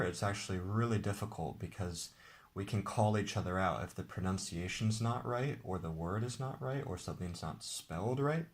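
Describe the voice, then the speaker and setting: A man, the game's designer, walking viewers through how to play his card game in a relaxed, explanatory way. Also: sits low. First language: English. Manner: relaxed, explanatory, with occasional restarts. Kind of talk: casual tabletop game demonstration.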